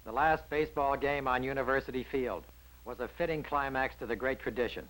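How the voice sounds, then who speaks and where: A man's voice: mid-range voice, brisk, fairly bright tone, poor audio. 1960s American newsreel narrator, a man.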